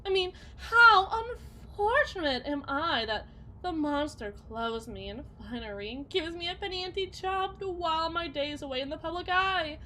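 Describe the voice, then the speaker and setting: A woman playing a bitter, self-loathing wife and mother in an ASMR roleplay, speaking in a theatrical, halting, rambling lament. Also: extremely high pitch, expressive, pushed and bright, a little hiss or hum, heavily acted. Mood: bitter, tearful.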